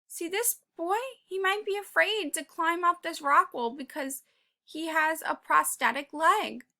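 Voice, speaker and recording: A woman reading a children's picture book aloud, pausing to talk to young listeners in an encouraging way and sometimes dropping to a whisper. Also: very high-pitched. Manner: drops to a whisper at quiet moments. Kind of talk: read-aloud with commentary for kids.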